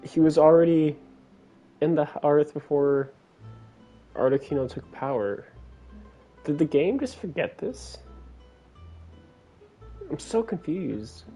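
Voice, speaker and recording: A man's voice: a little high, lively intonation, slow pace, pauses often, warm tone, soft background music. A man streaming a video game with casual, reactive commentary, blunt and incredulous in manner.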